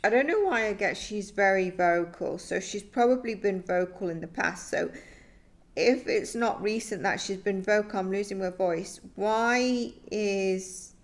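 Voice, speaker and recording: A woman's voice: mid-range voice, measured pace. A woman giving a psychic tarot reading, thinking aloud in a tentative, musing way that often trails off.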